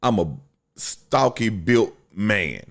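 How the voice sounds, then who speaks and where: A young man hosting a solo podcast, talking bluntly and profanely in a deep voice, telling a story with lots of animation. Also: animated, slow, vocal fry. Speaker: podcast host.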